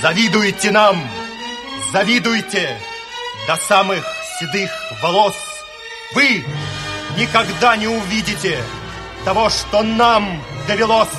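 Fervent, high-pitched revolutionary orator. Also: male voice. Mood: exalted. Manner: declamatory, exalted, deliberate. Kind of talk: poetic declamation in Soviet radio drama. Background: music.

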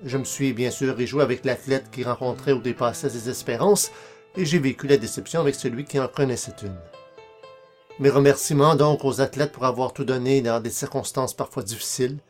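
A man's voice: mid-range voice, a little fast, soft background music. A man with a Quebec French accent presenting a podcast as its host in a scripted narration style.

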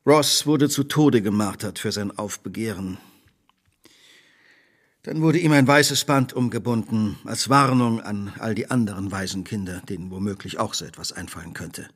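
An actor playing a stern, incisive gentleman detective who speaks in a deliberate, accusing way in a radio drama. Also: lowish voice, husky. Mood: indignant, contemptuous.

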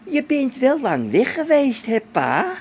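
Man imitating a high-pitched questioning young boy. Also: wildly swooping pitch, slow, darkish tone, slight background noise, heavily acted. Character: pilot's young son questioning his dad, imagined.

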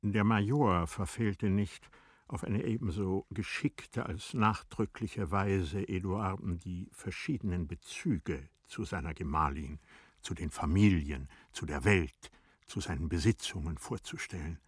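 Husky male narrator, dry and fairly low. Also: dry recording. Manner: deliberate, formal storytelling. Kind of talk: scripted literary narration for radio drama.

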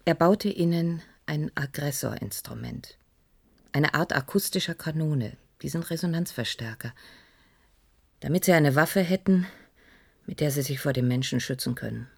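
An actress playing a grave woman in a German radio play, explaining in measured, deliberate dramatic phrases. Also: deep voice, vocal fry, slightly rough, full character voice. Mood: grave, wistful. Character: grave woman explaining a scientist's secret work.

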